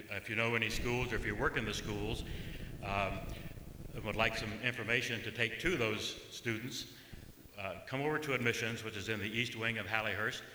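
A man welcoming an audience as a college admissions officer, speaking in a halting way with fillers. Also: fairly low pitch, bright, forceful tone. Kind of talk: welcome speech. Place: concert hall. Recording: echoey hall with faint room noise.

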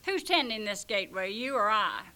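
A woman voicing a stern elderly male saint who keeps a gate and makes indignant, booming pronouncements. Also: sing-song, moderate pace, sharp tone.

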